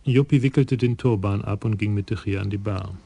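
A man narrating a radio crime play in a measured storytelling manner. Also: lowish voice, vocal fry, mellow, husky, no room sound.

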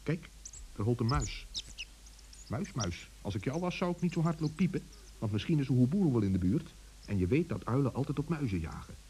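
A man's voice: mid-range voice, animated, fairly quick, background noise. A man narrating a children's story intimately, speaking directly to listeners and characters.

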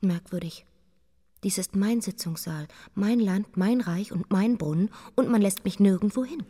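A headstrong young queen, played by a woman in a radio drama, with a mid-pitched voice. She is commanding, direct and drily sardonic, her words ringing through a large reverberant hall. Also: normal speed, dark tone, slightly rough, natural voice. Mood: sardonic, proud. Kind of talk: radio play acting. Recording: echoing hall with long reverb.

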